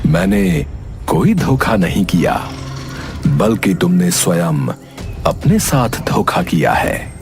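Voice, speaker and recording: A man playing a vengeful, gloating sorcerer sadhu in a cartoon story, speaking in theatrical phrases with dramatic pauses. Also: sits low, wildly swooping pitch, vocal fry, music in the background, exaggerated voice.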